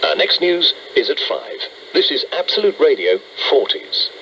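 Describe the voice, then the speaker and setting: A man's voice: on the high side, sing-song, normal speed, dull audio, loud music behind the voice. A British man reading a scripted radio news bulletin in a 1940s style. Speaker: radio newsreader. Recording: noisy band-limited medium wave radio.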